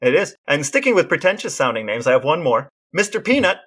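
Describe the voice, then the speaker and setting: Man, somewhat high voice, podcast co-host, conversational, reading out quotes and trivia. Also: big pitch swings, fast, a bit bright, husky. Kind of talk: casual chat with read-out quotes and trivia.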